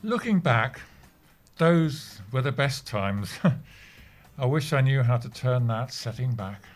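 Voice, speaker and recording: An actor with a British accent playing a mild, wistful, slightly odd office worker in an audio comedy. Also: male voice, medium pitch, animated, slow pace.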